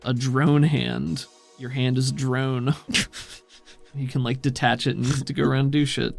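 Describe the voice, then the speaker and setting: A man's voice: medium pitch, level tone, moderate pace, creaky, darkish tone, faint music behind. A man running a tabletop game as game master, casually explaining rules and thinking aloud.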